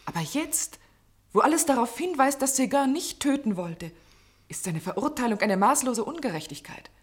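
A woman's voice: high-pitched, lively intonation, moderate pace. A woman acting an earnest, persistent character in a German radio play, speaking with insistence. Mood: urgent, pleading. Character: earnest, persistent woman pleading against an unjust conviction.

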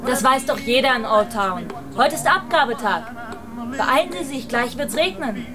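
A woman's voice: sits high, animated, moderate pace. An actress playing a chatty, knowing woman neighbour in a small town, in a radio drama. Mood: friendly, teasing. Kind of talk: German radio drama dialogue. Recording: loud guitar song over dialogue.

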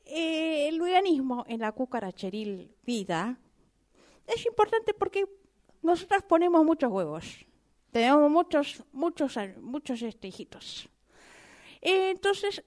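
A woman with an Argentine accent voicing a chatty, sentimental mother cockroach in improvised comic radio theatre, repeating herself coyly. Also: high voice. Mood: earnest, confiding. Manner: repetitive, coy, hesitant, conversational asides.

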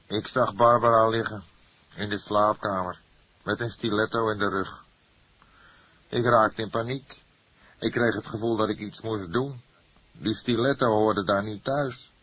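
A man's voice: on the low side, fairly even intonation, a little slow, pauses often, a bit bright, breathy edge, no room sound, pitched above the performer's own voice, low-quality recording. A man voicing an agitated, defiant young murder suspect in an audiobook, speaking emphatically and with emotion. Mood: distraught. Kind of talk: acted audiobook dialogue.

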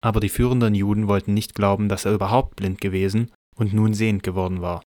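A man reading scripted scripture narration for an audio drama in a measured, matter-of-fact way. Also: fairly low pitch, level tone, darkish tone, no room sound, clean studio sound.

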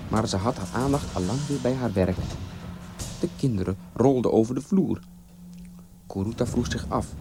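A man narrating a scripted folktale as a storyteller, with expressive, theatrical delivery. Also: lowish voice.

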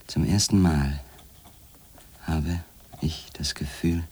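An actor playing an obsessed, solitary journalist in a radio drama, voicing letters in a halting, grave, brooding manner. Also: male voice, very deep voice, steady tone, a little mellow, breathy edge, full character voice. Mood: brooding, pleading. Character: obsessed, solitary male journalist writing to his wife.

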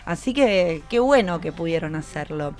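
A woman's voice: on the low side, lively intonation, normal speed, a little mellow. A woman with an Argentine accent hosting a casual radio interview, encouraging people and prompting them with questions. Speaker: radio host interviewing students. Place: radio studio. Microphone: studio microphone.

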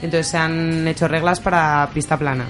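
Flat-toned, low-voiced Spanish woman. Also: moderate pace, faint music behind. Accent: Spanish from Spain. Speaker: interview guest, roller derby player. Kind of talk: casual explanation.

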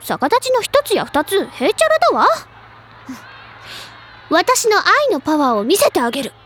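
A woman playing a bubbly, stubborn high school girl who gushes and lectures theatrically about literature in an audio drama. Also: wildly swooping pitch, normal speed, a bit bright, a little hiss or hum, heavily acted. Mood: stubborn confidence. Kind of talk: Japanese anime-style audio drama acting.

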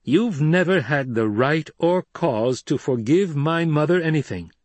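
A man voicing a defiant, coldly angry young heir in a forceful, imperious, declamatory way. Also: mid-range voice, expressive, normal speed, dry recording, voice pushed up, natural voice. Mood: cold anger.

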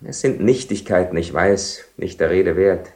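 An actor playing Don Juan, a proud, mocking and world-weary seducer, with ironic, declamatory delivery in a radio play. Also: male voice, sits low, expressive, a little slow, darkish tone. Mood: weary, irritable. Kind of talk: German radio play acting. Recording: roomy echoing 1970 radio studio.

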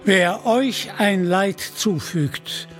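Guy doing a commanding armoured king, stately n slow, audiobook style. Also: sits high, faint music behind. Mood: gracious, solemn. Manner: stately, authoritative. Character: commanding, teasing king disguised as armoured leader.

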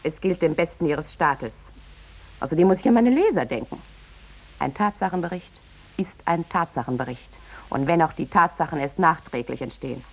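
Low-voiced, animated scheming woman reporter. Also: moderate pace. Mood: imperious. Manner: insistent, glib, theatrical. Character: brazen, scheming woman newspaper reporter, ruthlessly self-serving. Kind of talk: 1950s German radio satire acting.

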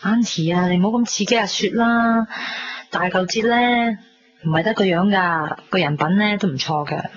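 A woman's voice: medium pitch, normal speed, natural voice. A woman playing a bubbly, loyal young best friend in a Hong Kong Cantonese radio drama, chatty, gushing and teasing. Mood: chatty, persuasive. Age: young adult. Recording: dull 1990s radio recording, background noise.

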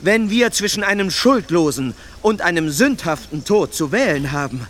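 An actor playing a devout pastor who preaches meek submission in a pleading, sermon-like way, in a radio drama. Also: male voice, high voice, sing-song, normal speed, a bit bright. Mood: pleading, anguished. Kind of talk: German radio drama acting.

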